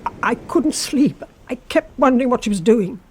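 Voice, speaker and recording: A woman's voice: fairly high pitch, expressive, normal speed, mellow, a little hiss or hum, lightly acted. An actress playing a sinister, obsessive housekeeper in a radio drama, insinuating and intimate, lingering on her phrases. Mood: brooding.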